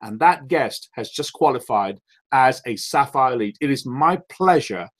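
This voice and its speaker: A man's voice: mid-range voice, animated, normal speed. A man hosting a team call, giving a motivational speech with emphatic, rising build-ups and acted quotes of other people.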